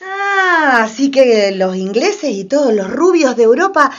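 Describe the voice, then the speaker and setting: High-voiced outspoken woman played by an actress. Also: big pitch swings, a little slow, muffled recording, full character voice. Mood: indignant surprise. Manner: exclamatory, colloquial. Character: outspoken indignant common woman, naive questioner. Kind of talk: community radio play dialogue.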